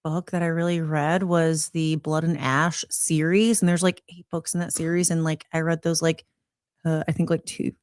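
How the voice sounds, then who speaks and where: Woman with a lowish voice chatting, says um and like a lot, dry close mic. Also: level tone, moderate pace, a little mellow, no echo. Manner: chatty, hesitant, lots of "um" and "like". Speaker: podcast co-host. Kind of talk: casual chat.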